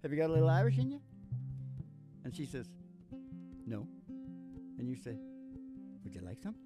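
Older man, high-pitched, joking folksy host. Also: huge pitch swings, moderate pace. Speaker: host and musician. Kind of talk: casual comic banter. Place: small curtained studio stage. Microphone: stage microphone on stand. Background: acoustic guitar.

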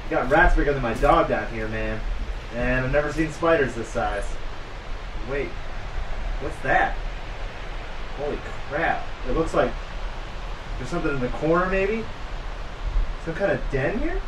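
A man's voice: mid-range voice, expressive, a little slow, pauses often, lightly acted. A man playing a cocky, teasing teenage boy in an audio horror drama, brash and slangy. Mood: curious, uneasy. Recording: noisy ambience behind dialogue.

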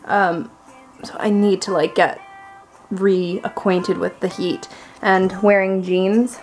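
A woman's voice: medium pitch, steady tone, slow pace, soft background music. A young woman chatting casually and playfully for a family vlog, with lots of exclamations. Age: young adult. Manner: bubbly, playful, exclaims often. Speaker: vlogger. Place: car and family home. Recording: noisy car interior.

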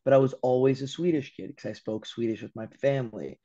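Young LA man, guest on a video-call podcast; lively storyteller who voices quotes and swears, mellow tone, dull audio. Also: medium pitch, fairly quick. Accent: American. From Los Angeles, USA. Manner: animated storyteller, acts out quotes, swears casually. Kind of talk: casual interview chat. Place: room at home by a window.

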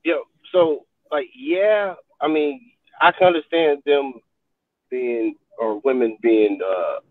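A brash man guesting on a video call, chatting comedically with animated delivery, heavy slang and swearing, over tinny call audio. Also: mid-range voice, slow pace, no room sound. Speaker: talk show guest, comedian. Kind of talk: comedic, explicit casual chat.

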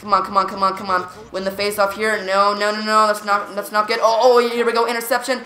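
Woman gaming host; jumpy live reactions, repeats words when excited, echoey room. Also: mid-range voice, quick pace, a little hiss or hum. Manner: jumpy, reactive, lots of "come on" and "here we go". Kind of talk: excited live gameplay commentary. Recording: roomy echo.

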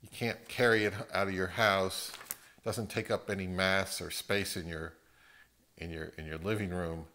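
A man's voice: sits low, fairly even intonation, normal speed, sharp tone. A middle-aged man talking casually and unscripted to camera at home, hesitant, rambling and self-deprecating. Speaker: video host. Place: open living room at home, indoors.

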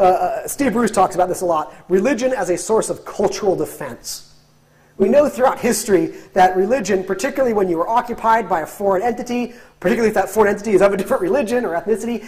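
Man in his thirties, guest lecturer, classroom, lapel mic, animated with restarts. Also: high-pitched. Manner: animated, gesturing, many restarts and fillers. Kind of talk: lecture with audience questions. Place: classroom with whiteboard.

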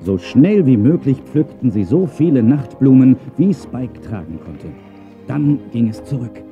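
A man's voice: mid-range voice, very animated, unhurried. A man narrating a children's audio drama expressively from a script. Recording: narrow phone-like sound, faint music.

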